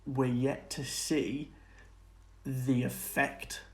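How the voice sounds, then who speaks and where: A man with a medium-pitched voice talks as a podcast co-host. His delivery is informal and enthusiastic, in the style of a casual chat about screen entertainment. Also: flat delivery, drawn-out words. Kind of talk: casual film and TV discussion.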